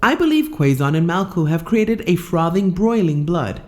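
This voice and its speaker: Woman as Raven, precise and lowish-voiced. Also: big pitch swings, moderate pace, a little mellow. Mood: factual. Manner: precise, formal, matter-of-fact. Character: precise, factual, unflappable circus aide named Raven. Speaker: voice actor. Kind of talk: scripted audio drama acting.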